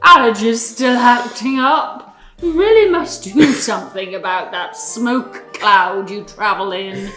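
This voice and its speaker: A woman's voice: mid-range voice, bright, forceful tone, echoey room, soft background music, low-quality recording. Woman acting an exuberant, drawling fairy queen. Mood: teasing. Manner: gushing, emphatic, drawn-out. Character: affectionate, exuberant fairy queen, loving elder sister.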